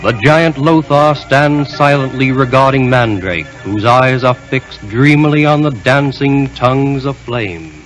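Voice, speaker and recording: A man reading scripted narration for an old-time radio drama in a measured, dramatic manner. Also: medium pitch, soft background music, rough recording.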